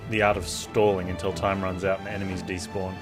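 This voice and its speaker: A man's voice: low-pitched, level tone, brisk. A man giving casual gameplay commentary as a streamer, with wry, joking asides. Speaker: game streamer. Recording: loud game music behind. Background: game music and sound effects.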